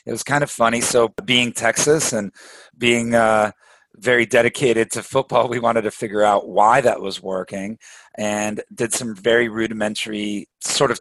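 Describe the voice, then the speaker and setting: Low-voiced man answering interview questions. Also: no room sound, low-quality recording. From Belgium. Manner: relaxed, explanatory, with frequent filler words. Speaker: podcast guest, sales and marketing executive.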